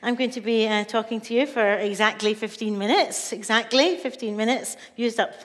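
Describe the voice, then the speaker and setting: A woman's voice: a little high, moderate pace. A woman from Scotland with a Scottish accent, a writer telling stories live on stage in a chatty, laughing way. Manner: chatty, laughing, animated storyteller. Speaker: writer speaking at a festival. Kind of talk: live storytelling talk. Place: stage in a large hall. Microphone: stage microphone. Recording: long reverb of a big hall.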